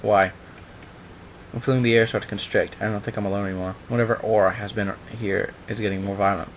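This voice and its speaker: A man's voice: fairly low pitch, fairly even intonation, fast talker, slight background noise. A young man doing an informal amateur dramatic reading, dropping into casual offhand asides, recorded at home. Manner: informal, breaks off into offhand remarks. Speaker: online video maker reading a horror story aloud.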